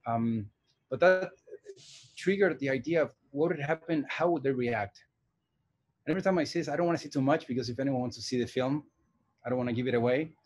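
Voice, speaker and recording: A man's voice: medium pitch, fairly quick, long pauses, darkish tone, breathy edge. A man in his forties from Argentina, an animation director and teacher, talking thoughtfully with many hesitations and restarts in a casual interview. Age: 40s.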